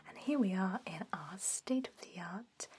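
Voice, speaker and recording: A woman playing a friendly, quietly sinister vampire head nurse in a soft, breathy ASMR whisper. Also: mid-range voice, animated. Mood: friendly, briskly professional. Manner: slow, gentle, coaxing, professional patter. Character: friendly chatty female vampire head nurse, cheerfully sinister toward captives.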